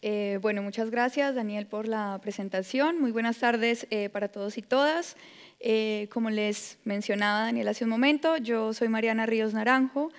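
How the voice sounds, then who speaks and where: A woman's voice: a little high, smooth. Colombian woman presenting in echoing hall. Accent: Colombian Spanish. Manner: measured, frequent filler sounds. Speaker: predoctoral researcher giving a talk. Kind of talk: conference presentation. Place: large lecture hall. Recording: long hall reverb.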